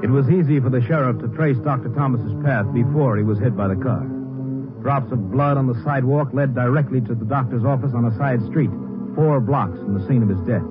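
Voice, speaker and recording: A male actor is a sober narrator of an old radio crime drama, with a medium-pitched voice. Loud music plays behind him, and the whole recording is muffled and vintage. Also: a little fast, close to an everyday voice.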